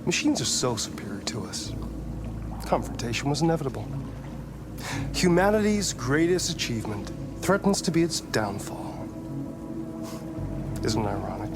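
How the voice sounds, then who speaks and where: A man's voice: medium pitch, very animated, lightly acted. A man with an American accent playing a cool, arrogant, philosophical tech genius in a cinematic video game. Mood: musing, superior. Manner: philosophical, measured, smug. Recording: music under the voice.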